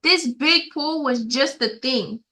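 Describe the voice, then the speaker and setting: A woman reading a story aloud to children in an expressive, emphatic way, addressing young listeners directly. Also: high-pitched, takes it slowly.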